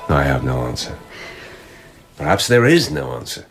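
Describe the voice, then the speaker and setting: An actor playing a probing, restrained police lieutenant in a radio drama, with a husky voice and measured, insistent questioning. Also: male voice, extremely low pitch, sing-song, a bit bright, soft background music, heavily acted. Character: male police lieutenant, probing, restrained investigator in old Japan. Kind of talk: naturalistic radio drama acting.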